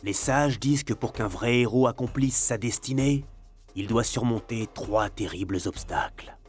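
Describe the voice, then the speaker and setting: A man's voice: mid-range voice, normal speed, breathy edge, soft background music, natural voice. A man playing a dramatic storyteller of legend, narrating a video game in a solemn, portentous way. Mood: solemn, epic.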